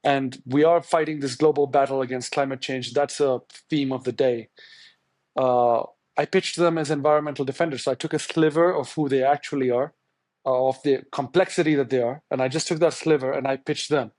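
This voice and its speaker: A man's voice: mid-range voice, steady tone, a little fast, darkish tone, no echo. A man from India whose first language is Tamil, a journalist answering interview questions in a thoughtful, searching way with many restarts. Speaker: journalist guest.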